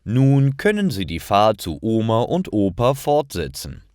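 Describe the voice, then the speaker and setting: A man reading simple stories as a narrator for language learners, in a deliberate and expressive storytelling style. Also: fairly low pitch, smooth, dry recording, studio quality. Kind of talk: scripted storytelling narration, read slowly for learners.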